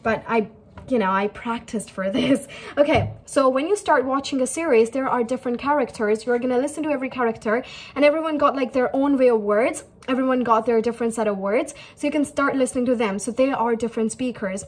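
A woman's voice: on the high side, a little fast, breathy edge. A young woman, teaching viewers in a chatty way and often repeating her points and asking them questions. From India. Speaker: English tutor. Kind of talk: casual teaching talk to camera. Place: small indoor room.

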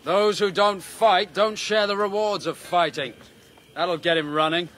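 A man's voice: sits high, measured pace, a bit bright, a little hiss or hum. An actor playing a bold, wry warrior prince who commands his soldiers in a historical audio drama. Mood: hearty. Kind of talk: historical radio drama acting.

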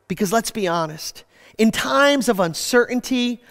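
A man in his forties preaching a sermon from a church stage, animated, his voice dropping low at the ends of phrases. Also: a little slow, gravelly, big-room sound. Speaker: pastor. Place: church stage with screens, indoors.

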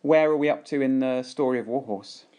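Man, British accent, family podcast host, casual chat, gentle questioning. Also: mid-range voice, fairly quick. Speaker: podcast host, father interviewing his children.

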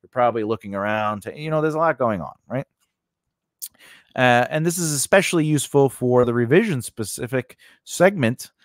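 Animated middle-aged man teaching, dry close sound. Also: medium pitch, moderate pace, dry recording. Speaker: host of a screenwriting lesson channel. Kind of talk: conversational instructional talk to camera. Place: small indoor home studio with cloth backdrop. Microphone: close studio condenser mic on a stand.

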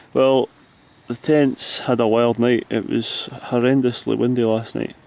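A man's voice: mid-range voice, fairly even intonation, takes it slowly, gravelly. A man giving casual, understated and hesitant narration of a hiking trip on a handheld camera. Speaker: hiker filming a camping trip. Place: outdoors on hills and inside a small tent. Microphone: handheld camera mic.

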